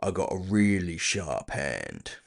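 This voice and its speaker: A man's voice: low-pitched, wildly swooping pitch, slow pace, gravelly, exaggerated voice. A man voicing a big, cool-headed orc bounty hunter, blunt and dryly cocky, in a fantasy audio drama. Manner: blunt, dry, confident.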